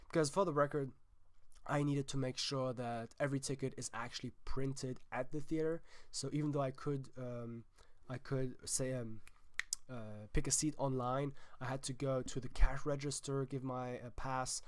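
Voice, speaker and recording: A man's voice: mid-range voice, steady tone, normal speed. A young man with a German accent, hosting his own film vlog and sick, talking in a chatty, emphatic way with restarts. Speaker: film vlogger, host. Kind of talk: casual vlog chat.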